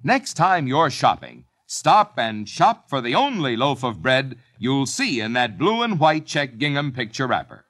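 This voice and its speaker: A male announcer reading a 1950s radio commercial in a smooth selling pitch. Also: very animated, unhurried, few pauses. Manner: warm selling pitch, very sing-song.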